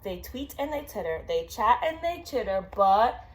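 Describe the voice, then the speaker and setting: A woman reading a children's story aloud with dramatic, drawn-out emphasis. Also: mid-range voice, big pitch swings, slight background noise. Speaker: storybook reader. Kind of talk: expressive children's read-aloud.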